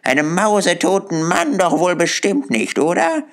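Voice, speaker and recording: A man's voice: high-pitched, very animated, normal speed, pitched above the performer's own voice. A man voicing a brash, defiant old woman thief in an audiobook, with a coarse, taunting manner. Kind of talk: audiobook character voice.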